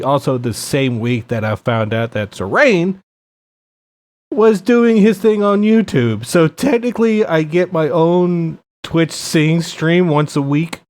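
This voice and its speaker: Podcast host, man, unhurried delivery, teasing questions in casual chat. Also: a little high, darkish tone.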